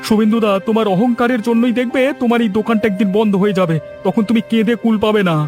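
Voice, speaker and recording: A man's voice: high-pitched, fairly even intonation, very fast, darkish tone, faint music behind, natural voice. A man voicing an argumentative younger villager in a cartoon, with a needling, complaining way of talking. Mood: resentful, challenging. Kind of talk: cartoon voice acting in a village comedy.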